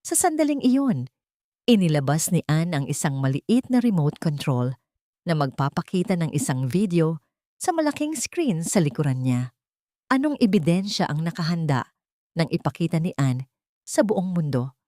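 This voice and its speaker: A woman narrating a scripted drama in a solemn, deliberate storytelling manner. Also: sits low, big pitch swings, dark tone, dry recording, studio quality.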